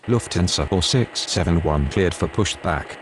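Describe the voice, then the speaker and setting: Deep-voiced man making air traffic calls. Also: moderate pace. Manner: clipped, formulaic radio calls with call signs. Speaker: air traffic control game player. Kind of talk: reading aviation radio phraseology.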